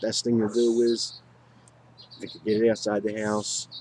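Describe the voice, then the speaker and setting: A man with an American accent and a fairly low voice speaks off the cuff, like a hobbyist chatting to viewers. His delivery halts and rambles, circling back over his own words. Clear background noise sits behind him. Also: monotone, a little mellow. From United States. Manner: halting, rambling, repeats himself. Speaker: hobbyist video maker. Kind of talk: casual unscripted talk to viewers.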